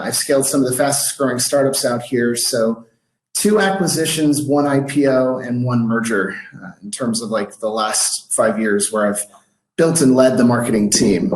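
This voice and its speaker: An American man from Washington, D.C., giving a casual webinar talk on startup marketing, with many fillers like "uh" and "like". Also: mid-range voice. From Washington, D.C., United States. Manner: casual, filler-heavy, with frequent "uh" and "like". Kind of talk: webinar presentation. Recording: echoey room sound.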